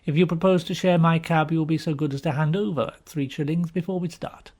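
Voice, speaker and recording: A man voicing a smug, wry gentleman who coolly needles a friend in an audiobook story, with dry, formal phrasing. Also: a little high, steady tone, close to an everyday voice. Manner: dry, formal, unhurried teasing. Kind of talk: dramatised audiobook character reading.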